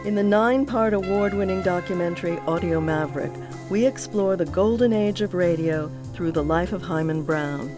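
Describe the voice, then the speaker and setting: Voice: mid-range voice, moderate pace, few pauses. An announcer reading an upbeat, inviting podcast promo. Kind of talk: scripted promo read. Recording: voice over music.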